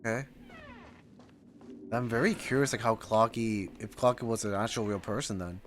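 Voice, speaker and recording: A man's voice: fairly low pitch, moderate pace. A man doing casual, informal live reaction commentary on a game and chatting to viewers.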